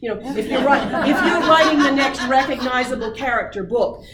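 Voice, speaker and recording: A woman's voice: sits high, fairly quick, a bit bright, some chatter behind, slight background noise. Woman coaching writers in a roomy meeting space. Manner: encouraging, coaching, many fillers. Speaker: workshop presenter. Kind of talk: writing workshop talk. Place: meeting room. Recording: roomy distant audience recording.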